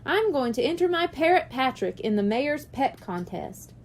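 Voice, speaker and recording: Woman reading as playful, high-voiced schoolteacher man. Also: very animated, normal speed, slight background noise. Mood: cheerful. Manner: explanatory, teasing. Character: cheerful male first-grade teacher, playful, patiently explaining history. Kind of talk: children's audiobook character reading.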